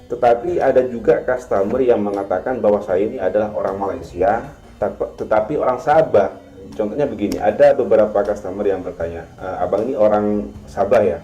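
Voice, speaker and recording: Man from Madura, Indonesia, very low voice, mellow tone, halting storytelling, echoey dull recording with faint music. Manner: measured, halting with frequent filler sounds. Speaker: restaurant worker telling his experience. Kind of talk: casual storytelling to camera.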